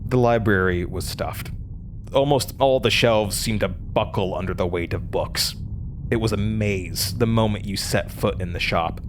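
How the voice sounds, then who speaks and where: Deep-voiced haunted young American man played by an actor. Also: unhurried, noisy background, natural voice. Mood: haunted, suspenseful. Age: young adult. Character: haunted young male college student recounting horrors. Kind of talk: dramatic first-person horror narration.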